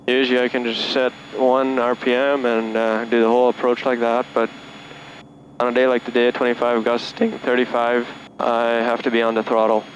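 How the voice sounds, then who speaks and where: A man's voice: medium pitch, rough recording. A man, a student pilot casually narrating his flight and making radio calls. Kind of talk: casual in-cockpit vlog chat and radio calls. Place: small airplane cockpit in flight. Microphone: cable-fed in-cockpit headset audio. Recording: cockpit audio with steady engine noise. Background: light aircraft engine drone.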